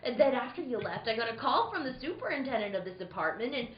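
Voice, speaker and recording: A woman's voice: a little high, very animated, fast. A woman playing a nervous, stammering male crook in an old-time detective radio drama, flustered and melodramatic. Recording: echoey room, dull noisy sound.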